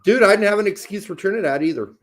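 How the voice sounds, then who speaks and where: A man co-hosting a casual live match watch-along, mixing crude jokes with excited play-by-play calls. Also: high-pitched, fast talker.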